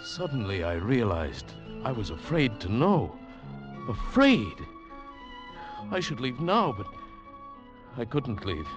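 A man's voice: medium pitch, sing-song, slow. An actor in a mid-century radio drama playing a troubled, spellbound man, intense and hesitant, also narrating dramatically. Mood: fearful, intense narration. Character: troubled, spellbound Englishman drawn to a mysterious woman. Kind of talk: 1940s-50s radio drama acting and narration. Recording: old muffled radio broadcast with music.

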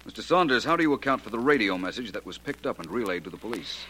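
Deep-voiced, cool radio detective. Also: male voice, very animated, sharp tone. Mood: probing, cool. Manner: polished, measured, probing questioning. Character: cool, courteous, authoritative male private detective. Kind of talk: 1940s radio detective acting. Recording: noisy vintage 1940s radio recording.

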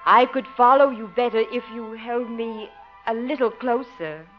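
Actress as high-voiced, cooing flirt. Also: female voice, steady tone, normal speed, lightly acted. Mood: cooing, flirtatious. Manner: cooing, lingering, teasing. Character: flirtatious, coy woman dance instructor, sweetly forward. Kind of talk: 1950s radio comedy acting. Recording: muffled old-time radio recording with music.

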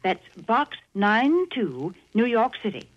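A woman's voice: on the high side, very animated, slow. A woman co-hosting a 1940s radio show and reading its sponsor's advertisement in a cheerful, persuasive manner. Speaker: co-host and commercial announcer. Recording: dull 1940s radio broadcast.

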